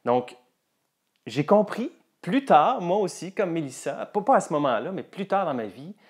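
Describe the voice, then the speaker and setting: A man's voice: a little high, very animated, normal speed. A man with a Quebec French accent, a coach telling personal stories and presenting a course to viewers.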